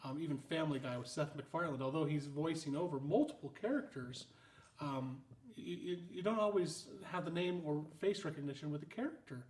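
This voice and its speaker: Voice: mid-range voice, brisk. Jokey interview host in an echoey room. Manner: animated, jokey, stumbling restarts. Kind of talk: casual interview chat.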